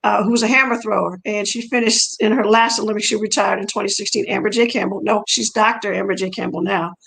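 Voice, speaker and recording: Middle aged lady, french is her first language, coach chatting in an interview, lots of vocal fry, kinda rambly. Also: mid-range voice, steady tone, fast talker, fairly bright tone, rough recording. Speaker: track and field coach, interview guest. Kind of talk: conversational personal storytelling.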